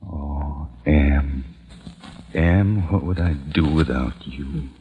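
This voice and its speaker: An actor playing a weary, resolute leader of plague survivors, speaking gravely and deliberately in old-time radio style. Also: male voice, extremely low pitch, phone-quality sound, background noise, heavily acted. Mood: weary despair. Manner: grave, deliberate, solemn delivery. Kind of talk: old-time radio drama acting and narration. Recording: vintage radio drama with music behind.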